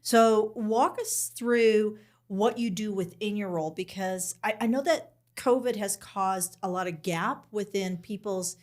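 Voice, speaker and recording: A woman's voice: medium pitch, measured pace, a little mellow. A woman hosting a podcast interview, chatting in an effusive, enthusiastic way. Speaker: podcast host. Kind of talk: casual interview chat.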